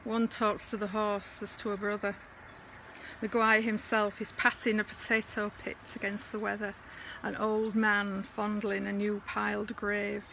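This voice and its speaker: A woman's voice: medium pitch, monotone, measured pace, creaky, bright, forceful tone, a little hiss or hum, poor audio. A woman reader giving a dramatic recitation of poetry.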